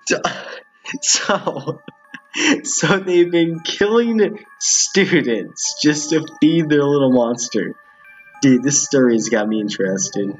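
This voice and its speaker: A man's voice: a little high, takes it slowly, husky, soft background music, rough recording. A man reading a visual novel aloud as a gaming host, with casual, slangy reactions.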